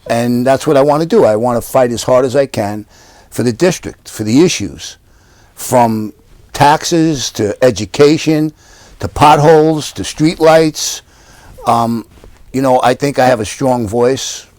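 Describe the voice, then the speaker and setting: A man's voice: mid-range voice, a little slow, a little mellow, no room sound. An older man from Yonkers, a council candidate, answering interview questions at home in an earnest, repetitive campaign manner. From Yonkers, New York. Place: living room at home.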